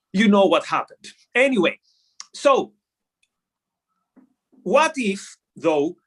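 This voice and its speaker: High-voiced man with a Greek accent. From Greece. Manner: animated, with many fillers and restarts. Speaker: podcast host. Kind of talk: solo explainer talk.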